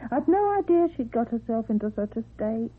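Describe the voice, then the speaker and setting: Animated, chatty landlady, husky. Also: a little high, a little fast. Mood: flustered. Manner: talkative, animated. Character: chatty, fussing landlady, matter-of-fact mother. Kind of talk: radio drama acting. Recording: tinny narrow-band old radio recording.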